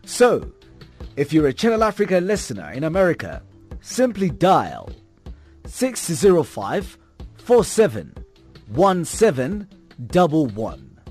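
Very lively male station promo voice. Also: fairly high pitch, unhurried, long pauses, slightly rough, faint music behind. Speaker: station announcer. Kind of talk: radio promo read.

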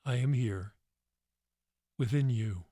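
A man voicing God the Father as a solemn, measured inner voice in a religious story, commanding yet reassuring. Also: medium pitch, warm tone, dry recording, studio quality. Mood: calm, questioning. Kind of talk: dramatic religious story reading.

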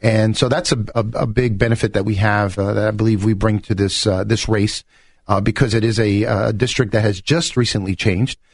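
Middle aged guy from new york, political candidate chatting in an interview, lowish voice, dry sound. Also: fairly even intonation, brisk, no room sound. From New York, United States. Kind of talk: conversational radio interview.

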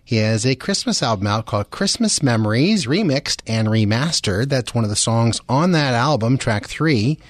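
A man's voice: medium pitch, lively intonation, moderate pace, hardly any pauses, dry recording. A man hosting a radio show, introducing and questioning a guest in a conversational style.

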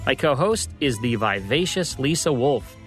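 Male American radio host, medium register, delivering scripted narration over soft background music. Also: mid-range voice, expressive, measured pace.

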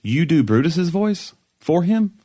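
A man's voice: fairly high pitch, a little slow. A man co-hosting a podcast, chatting casually and teasing his partner with jokes. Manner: joking, teasing, animated. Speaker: podcast co-host. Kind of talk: casual comedic chat.

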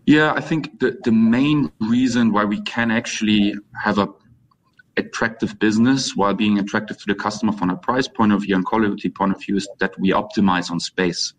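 A man's voice: fairly low pitch, fairly even intonation, a little fast, poor audio. A man from Germany with a German accent, answering interview questions as a guest and repeating words as he forms his thoughts. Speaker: interview guest, company co-founder. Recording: faint background noise.

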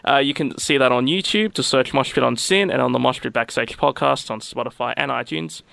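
A man's voice: medium pitch, fairly quick, gravelly, pushed and bright, no room sound. A man hosting an interview, asking direct questions and reading the show's promos. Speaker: interview host. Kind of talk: interview questions and show outro.

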